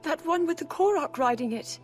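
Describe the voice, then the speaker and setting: Earnest, soft-hearted young princess, extremely high register, fantasy video game. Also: female voice, expressive, brisk, over music. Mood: earnest, hopeful. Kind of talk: video game cutscene acting.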